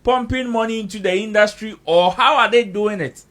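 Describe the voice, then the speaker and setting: Bright, forceful male interviewer. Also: sits high, fairly quick. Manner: rephrases questions, murmurs acknowledgements. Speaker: interviewer, host. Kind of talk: radio interview questioning.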